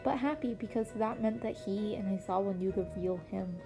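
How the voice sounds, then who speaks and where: A woman's voice: mid-range voice, normal speed. A woman reading scripted story narration as an amateur audiobook reader, with music playing underneath.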